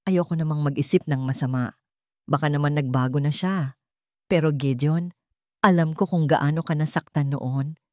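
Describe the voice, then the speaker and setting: A woman's voice: sits low, normal speed, dark tone, no echo, studio quality, close to an everyday voice. A woman narrator voicing a scheming female cousin in a story, speaking with a Filipino accent and hesitant, feigned concern. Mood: hesitant insinuation. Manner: hesitant, falsely worried. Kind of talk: storybook character dialogue.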